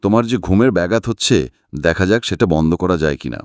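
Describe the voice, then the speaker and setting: A man voicing a calm, sharp-witted private detective in an audiobook, assured and reassuring. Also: low voice, brisk, no echo. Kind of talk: audiobook character acting.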